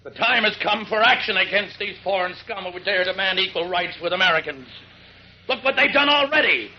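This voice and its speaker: An actor playing a hateful middle-aged gang leader, ranting in venomous, gravelly oratory in the style of 1940s radio drama. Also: male voice, sits high, lively intonation, brisk, a little hiss or hum. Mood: bitter, incensed. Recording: dull lo-fi 1940s radio recording.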